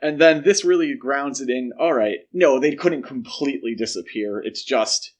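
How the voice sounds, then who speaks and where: A man co-hosting a podcast, talking casually and explaining his measured opinions on pop culture. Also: mid-range voice. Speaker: podcast co-host.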